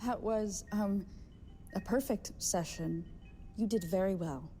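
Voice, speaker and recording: A woman's voice: medium pitch, slow pace, mellow, faint music behind. A woman playing a composed, evasive institute staffer in an audio drama, reassuring yet dismissive. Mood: smooth, evasive. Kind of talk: horror audio drama acting.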